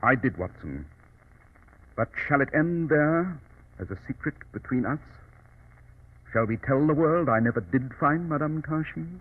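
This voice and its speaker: A self-assured male detective with a slightly rough voice. His delivery is formal and theatrical, swinging widely in pitch. It comes through a narrow, scratchy 1940s radio recording. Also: animated, a little slow, long pauses. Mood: reflective, secretive. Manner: crisply formal, sardonic, theatrical.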